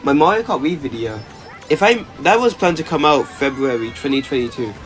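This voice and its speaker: A man's voice: fairly high pitch, expressive, fairly quick, faint music behind. A young man talking casually in a vlog, rambling with lots of stammered restarts, "like" fillers and casual swearing. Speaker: YouTuber.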